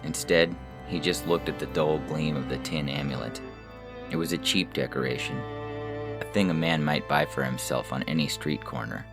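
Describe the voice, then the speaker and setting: A man's voice: very deep voice, fairly even intonation, moderate pace. A man reading scripted literary fiction as a story narrator. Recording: music under the narration.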